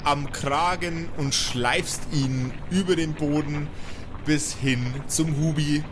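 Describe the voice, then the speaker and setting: A man's voice: on the high side, fairly even intonation, slow, noisy background. A man narrating an improvised tabletop role-playing game as the game master. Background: background music.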